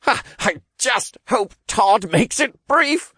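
High, strained old sorcerer. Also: male voice, sing-song, normal speed, fairly bright tone, husky, dry recording, clean studio sound, exaggerated voice. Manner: strained, fretful.

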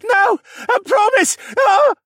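A male narrator plays a scrawny stable boy in a fantasy audiobook. The voice is pushed very high, strained and croaky, full of fear, and sounds close and dry. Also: huge pitch swings, drawn-out words, bright, forceful tone, dry recording, full character voice. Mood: terrified. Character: scrawny terrified stable boy, a stowaway son.

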